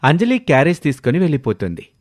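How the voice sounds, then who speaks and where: A man's voice: fairly high pitch, huge pitch swings, fast, no echo, studio quality. A man narrating a scripted moral story as a storyteller.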